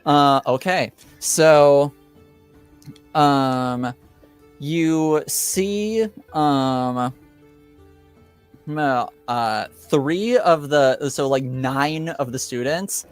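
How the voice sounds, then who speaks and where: Male game master, unhurried and hesitant delivery, mid-range voice, casual tabletop chat over faint music. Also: a little high, clean tone. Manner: halting, hesitant, thinks aloud.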